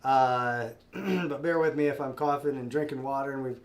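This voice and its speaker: A man's voice: medium pitch, fairly even intonation, moderate pace. Stuffed-up man hosting a live sale. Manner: friendly, rambling, many fillers and restarts. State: stuffed up with a cold. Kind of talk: casual live-stream chat.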